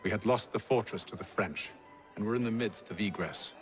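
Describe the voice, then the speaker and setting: A man performs a resolute gentleman schemer for a game. His voice is deep, his delivery formal and measured with calm authority. Soft music plays behind him. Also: natural voice. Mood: somber, reflective. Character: composed, commanding gentleman schemer, quietly resolute. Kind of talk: dramatic video game acting. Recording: faint background music under the voice.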